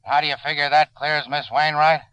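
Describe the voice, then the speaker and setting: Clear-voiced, sardonic radio police sergeant actor. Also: male voice, on the high side, fairly even intonation, fairly quick, fairly bright tone, dry recording, natural voice. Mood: sardonic, skeptical. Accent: American. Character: sardonic, skeptical male city police sergeant. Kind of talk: 1940s-50s radio drama acting. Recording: dull vintage radio recording.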